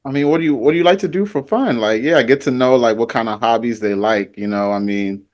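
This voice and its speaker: A man on a podcast panel, talking in a relaxed, rambling way. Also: mid-range voice, a little fast. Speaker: podcast guest. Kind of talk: casual panel chat.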